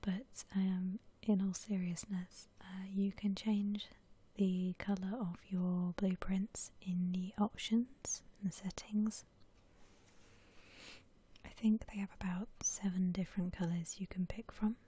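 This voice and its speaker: A woman whispering softly in a breathy, gentle, unhurried way, musing aloud as she gives ASMR commentary on a game. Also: mid-range voice, little pitch movement. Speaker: host of a gaming ASMR channel.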